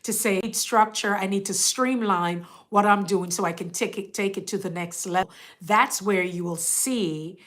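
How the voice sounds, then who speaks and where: A woman's voice: medium pitch, normal speed, a bit bright. A woman hosting a casual live stream, talking straight to her viewers in a rambling, motivational way, with a stuffed-up, congested voice. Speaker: host.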